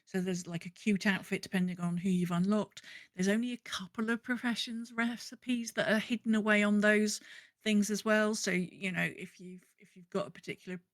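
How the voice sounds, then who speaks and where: A woman's voice: mid-range voice, level tone, moderate pace, no room sound. A woman co-hosting a podcast, chatting casually about an online game. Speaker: podcast co-host.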